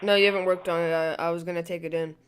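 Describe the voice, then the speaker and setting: A man's voice: a little high, level tone, very fast, a bit bright, a little hiss or hum. A man making a prank phone call, chatting with an earnest, clueless manner. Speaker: prank caller.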